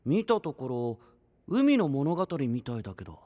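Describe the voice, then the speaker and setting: A man's voice: medium pitch, big pitch swings, moderate pace, mellow, dry recording, close to an everyday voice. A man voicing a cool, blunt young knight in an anime-style voice drama, with a light, offhand, casual delivery. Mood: offhand, skeptical. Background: background music.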